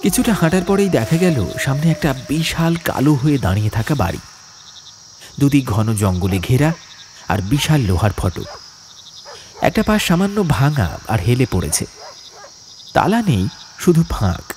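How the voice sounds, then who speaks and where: A man narrates a horror audiobook with dramatic suspense. His mid-pitched voice moves in lively, expressive swings, over a light bed of crickets and night ambience. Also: moderate pace, long pauses, slight background noise.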